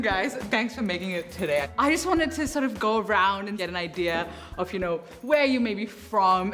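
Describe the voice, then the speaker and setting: A woman's voice: mid-range voice, a bit bright. A woman narrating a documentary and informally leading a group as its presenter. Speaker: youth programme leader and presenter.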